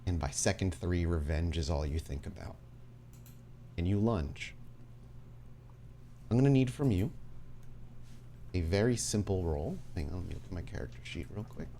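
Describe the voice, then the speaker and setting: Deep male voice, tabletop game master, dramatic deliberate storytelling, noisy background. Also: expressive, creaky, mellow. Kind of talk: narrating a tabletop roleplaying game.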